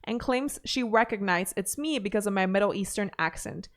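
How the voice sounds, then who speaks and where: Woman host, mid pitch, chatty commentary voice with running asides. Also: animated, moderate pace. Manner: chatty, reads posts aloud with asides. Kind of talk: drama commentary reading posts.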